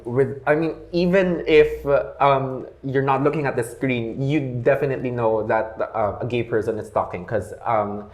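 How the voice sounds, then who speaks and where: A man's voice: medium pitch, moderate pace, hardly any pauses. Filipino man, echoey room. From Philippines. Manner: animated, frequent fillers, rising emphatic questions. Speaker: podcast guest. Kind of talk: casual chat, switching between Tagalog and English.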